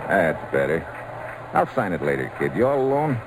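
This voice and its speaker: A low-voiced, lively baseball hero, big-hearted and folksy. He talks in a hearty, kindly way, as in a 1940s radio drama on a noisy old recording. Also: normal speed.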